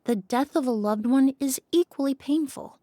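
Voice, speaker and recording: A woman voicing a composed young woman who examines a crime scene in a measured, analytical way. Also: sits high, mellow, a little hiss or hum, close to an everyday voice. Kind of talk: video game voice acting.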